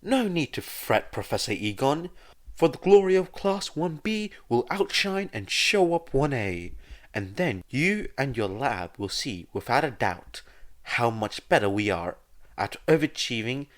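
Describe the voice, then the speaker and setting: A man voicing a smug, competitive teenage boy student who proclaims loudly and grandly in an audio story. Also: mid-range voice, very animated, unhurried, voice pushed up. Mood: boastful.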